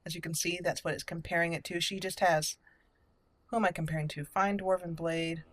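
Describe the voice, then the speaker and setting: A woman giving chatty gameplay commentary as host, slipping now and then into measured in-character roleplay speech. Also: on the low side, fairly even intonation. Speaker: let's play video host. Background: game music.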